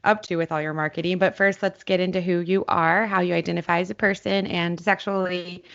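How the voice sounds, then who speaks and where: A woman's voice: fairly low pitch, level tone, fairly quick, fairly bright tone, dull audio. A woman hosting a podcast in a casual, chatty, informal way with playfully crude humour. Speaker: podcast host.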